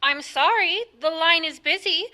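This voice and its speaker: An actress playing an unflappable, by-the-book telephone operator in a 1940s radio play, formally polite and detached. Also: female voice, very high voice, unhurried, pushed and bright, a little hiss or hum, exaggerated voice.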